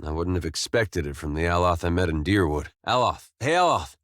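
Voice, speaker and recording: An actor playing an easygoing, wry, war-weary farmer turned adventurer in a video game, talking in a folksy, understated way with short, plain phrases. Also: male voice, low-pitched, animated, brisk, fairly bright tone, dry recording, studio quality. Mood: friendly, wry. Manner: folksy, laid-back, understated, drawling short clipped phrases. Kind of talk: video game companion dialogue.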